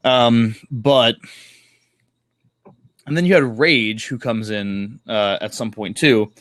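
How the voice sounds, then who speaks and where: A man's voice: mid-range voice, slow, fairly bright tone, no echo, clean studio sound. A man chatting casually and enthusiastically, rambling through recaps in an informal, sweary way. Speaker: podcast host or guest discussing comics.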